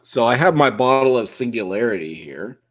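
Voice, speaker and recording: A man's voice: mid-range voice, expressive, normal speed, fairly bright tone. A man with a cold and a stuffed-up nose, co-hosting a beer review podcast in casual chat, earnest and thinking aloud.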